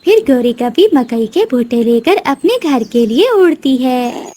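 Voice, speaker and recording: A woman's voice: sits high, sing-song, unhurried, mellow. A woman narrating a scripted children's cartoon story.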